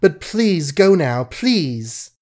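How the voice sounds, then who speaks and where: Storybook heroine, young, flustered and fond, halting pleas, read by a man in a raised voice. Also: a little high, big pitch swings, a little mellow, voice pushed up, full character voice. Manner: breathless, halting, pleading. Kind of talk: audiobook character voice.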